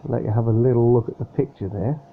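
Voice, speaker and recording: A man chatting casually while unboxing a model aircraft, speaking softly with lots of fillers and sounding slightly nasal from a cold. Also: medium pitch, steady tone, quick pace. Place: room at home with a fan running. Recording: tinny phone-like sound with faint fan hum.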